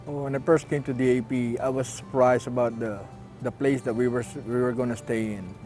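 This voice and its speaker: A man's voice: mid-range voice, steady tone, brisk, dark tone. Man from the philippines, hesitant, searching for words, faint music. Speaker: program client. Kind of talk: testimonial interview.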